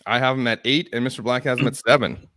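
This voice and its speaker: A young man co-hosting a live fantasy football show, running the segments in a brisk, teasing way. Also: medium pitch, steady tone, bright, forceful tone, no echo. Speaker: co-host. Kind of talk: casual fantasy football chat.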